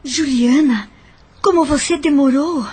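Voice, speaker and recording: A Brazilian woman playing a concerned, curious mother with a husky voice in a radio drama. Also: sits high, measured pace, a little mellow. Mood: concerned, questioning. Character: concerned, curious mother confiding in an older friend.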